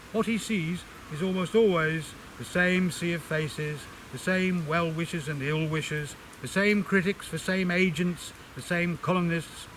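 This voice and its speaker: An older man reads aloud in an expressive literary way, with measured, dramatic phrasing. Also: on the high side, slight background noise, rough recording. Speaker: amateur reader introducing and reading a book extract.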